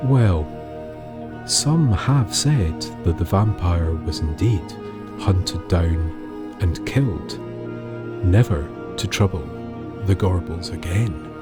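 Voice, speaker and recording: Male narrator, deep and unhurried, measured storytelling with dramatic pauses, music underneath. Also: animated. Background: music bed with guitar.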